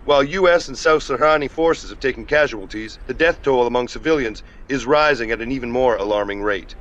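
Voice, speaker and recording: Man playing a stern military captain, official, curt, guarded, medium pitch, slightly dull sound, game cutscene. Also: fairly quick, a bit bright, close to an everyday voice. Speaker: army captain being interviewed. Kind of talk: formal interview answers in a game cutscene.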